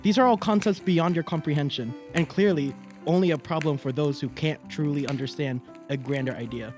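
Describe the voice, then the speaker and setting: A man's voice: fairly high pitch, a little fast, a little mellow, soft background music, lightly acted. A man acting a condescending, idealistic intellectual in a period radio drama, smug and formal. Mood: superior.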